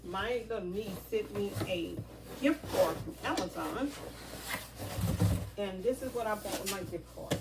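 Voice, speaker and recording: A woman's voice: medium pitch, unhurried, long pauses. A chatty woman who sounds a bit nasal from a stuffy nose, talking through an unboxing in a loose, rambling way full of ums. She sits back from the microphone, so her voice sounds distant. A noticeable hiss runs underneath. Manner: chatty, rambling, many fillers. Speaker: vlogger. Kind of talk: casual unboxing vlog. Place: outdoors near a garden. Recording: noisy, from off mic.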